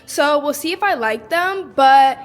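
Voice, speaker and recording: A woman's voice: sits high, expressive, measured pace. A woman vlogging in casual chat, talking from a car and filling her sentences with "like". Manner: chatty, many "like" fillers. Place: car on the way to a show.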